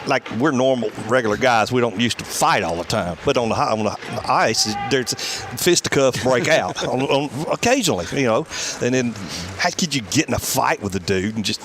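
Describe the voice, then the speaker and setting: Man, fifties, folksy joking host, mid-pitched, noisy outdoor setting. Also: animated, moderate pace, hardly any pauses. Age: 50s. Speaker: talk show host. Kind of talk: casual interview chat.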